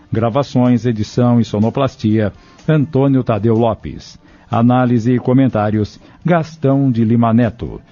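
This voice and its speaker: A man's voice: medium pitch, mellow. A man reading scripted radio drama narration in a formal announcer style. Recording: dull lo-fi radio, music behind.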